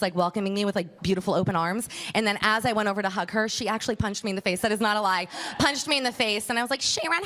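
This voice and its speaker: A woman's voice: fairly high pitch, fairly bright tone. A woman from Miami hosting and doing stand-up on a comedy club stage, rambling and self-mocking with lots of fillers. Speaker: stand-up comic and show host.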